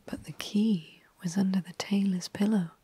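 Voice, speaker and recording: A woman telling a classic storybook tale in a soft, unhurried ASMR whisper. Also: medium pitch, steady tone, slightly rough, no room sound.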